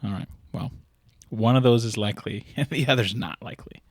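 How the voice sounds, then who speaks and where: A young man trades crude jokes with his co-host, his pitch sitting in the middle. He teases and quips freely. The sound is close and dry. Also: fairly quick, no room sound. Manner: crude, wisecracking, mocking. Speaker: podcast co-host. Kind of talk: casual banter.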